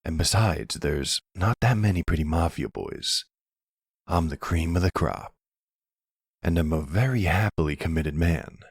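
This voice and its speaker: Guy acting as a weary older mafia boyfriend, super low n raspy, slow and tender, asmr roleplay. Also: no room sound, studio quality, heavily acted. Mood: wry. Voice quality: very deep, gravelly. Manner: halting, tender. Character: charming older mobster boyfriend, devoted and weary.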